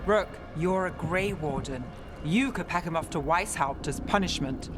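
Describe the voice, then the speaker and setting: Man as a grave, highish-voiced companion. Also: normal speed, over music, natural voice. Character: grave, reasoning fantasy companion. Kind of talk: dramatic video game acting.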